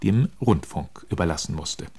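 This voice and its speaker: A man reads formal quotations from a script. His voice is low, with heavy creak and a dark, mellow tone. He phrases things deliberately. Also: animated. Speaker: reader of quotations in a radio feature.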